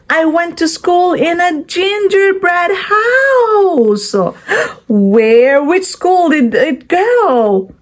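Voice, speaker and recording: Lady doing a kids read-aloud, really slow, super sing-song up and down, teachery, keeps asking questions. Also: high-pitched. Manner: teacherly, pausing to quiz and praise young listeners. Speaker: read-aloud storyteller for young children. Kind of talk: children's picture book read-aloud with questions to listeners.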